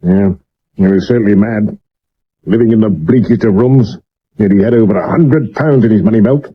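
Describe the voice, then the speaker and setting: A man acting a sober Victorian London police inspector with a British accent and a measured, deferential way of explaining, in a 1970s radio drama. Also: lowish voice, breathy edge. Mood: grave, explanatory. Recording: dull medium-wave radio recording.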